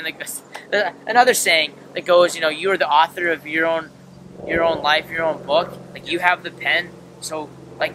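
A young man hosting a casual podcast, loud and rambling as he chats with his friend. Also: on the high side, slight background noise, rough recording. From California. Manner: loud, rambling, self-described loud voice. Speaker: podcast host. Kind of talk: casual chat.

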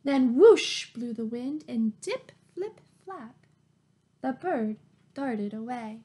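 A woman's voice: fairly high pitch, animated, takes it slowly, dark tone, slightly rough. A woman in her thirties reading a picture book aloud to young children, encouraging them with questions and prompts. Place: small plain room indoors at home. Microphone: webcam at arm's length.

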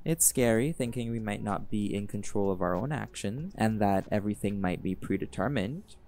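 Man playing a laid-back jaguar shifter, young adult, low gravelly voice, teasing, audio roleplay. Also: normal speed, a little hiss or hum, close to an everyday voice. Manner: easygoing, teasing, relaxed drawl with laughs. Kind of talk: audio roleplay, speaking to listener.

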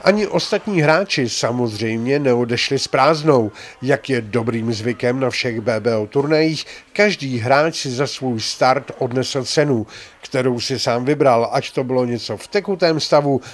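An older man hosting a bowling tournament, chatting and teasing as he reports and interviews players with a handheld mic in a large bowling alley. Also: medium pitch. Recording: faint hall noise.

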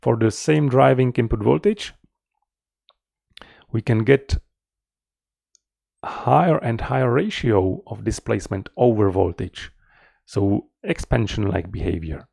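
A middle-aged man giving an explanatory technical presentation as a researcher, in a measured, slightly halting manner, close to the mic. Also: on the low side, gravelly, darkish tone, slightly rough, no room sound. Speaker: researcher presenting a conference paper.

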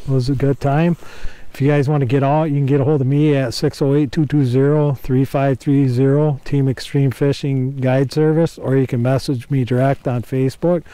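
A man's voice: medium pitch, clear, resonant tone, no echo, rough recording. A man hosting a casual fishing vlog from a small boat on a river, recorded on an action camera. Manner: excitable, laughing exclamations. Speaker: fishing guide and channel host.

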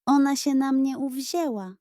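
A woman's voice: high-pitched, a little slow, warm tone, dry recording, clean studio sound, pitched above the performer's own voice. A woman voicing a mischievous, defiant schoolboy who mutters schemes under his breath in a children's audio story. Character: mischievous defiant schoolboy plotting revenge on teacher.

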